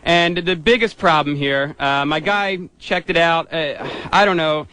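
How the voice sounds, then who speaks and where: A man's voice: fairly high pitch, unhurried, sharp tone, a little hiss or hum. A man playing a chatty auto repair clerk on a prank call, casually upselling in a hesitant, matter-of-fact way. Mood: mock concerned. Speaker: radio prank caller. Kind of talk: improvised prank phone call.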